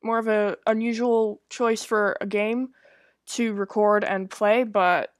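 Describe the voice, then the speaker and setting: A woman's voice: medium pitch, steady tone, slow, no room sound, studio quality. A woman hosting a gaming video, chatting casually to her viewers.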